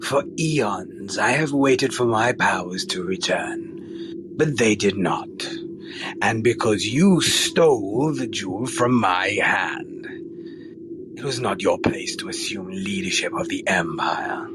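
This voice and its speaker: A low, slow, vengeful dark lord. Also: male voice, lively intonation. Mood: wrathful, menacing. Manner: grandiose, accusing. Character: vengeful fallen dark lord, imperious and menacing. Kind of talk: audio drama acting. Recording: noisy background ambience.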